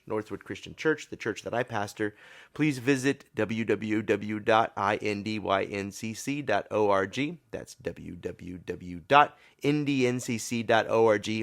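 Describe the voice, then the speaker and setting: Tired, scratchy-voiced pastor. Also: male voice, lowish voice, a bit bright. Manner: informal, self-deprecating, with small repetitions. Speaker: pastor and podcast host. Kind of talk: podcast sermon.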